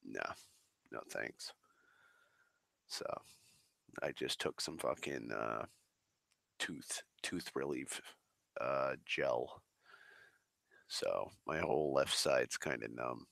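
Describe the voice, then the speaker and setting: A very deep, gravelly male voice with a crisp, bright edge, rambling slowly and swearing freely. He sounds a little congested from the tail end of a flu, sighing between thoughts. The sound is close and dry on a desk microphone. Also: no room sound. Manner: swears a lot, drawling, slow and sighing. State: recovering from flu, slightly congested. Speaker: streamer. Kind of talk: rambling casual monologue.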